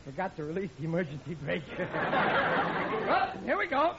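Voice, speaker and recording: Very noisy, muffled old radio comedy audio. a blustering middle-aged American father with a high voice. He is overconfident and easily flustered, stammering his way through sentences. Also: wildly swooping pitch, unhurried, over a noisy crowd, full character voice. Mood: nervous, sheepish. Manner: stammering, nervous chuckling between phrases. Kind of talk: 1950s radio sitcom acting.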